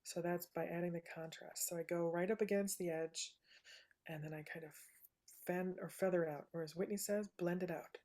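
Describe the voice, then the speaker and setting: A woman with a soothing, low voice gently walking through a live painting demonstration, often checking in with "right?". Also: steady tone, a little fast. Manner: soft-spoken, gentle, says "right?" often. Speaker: painting instructor.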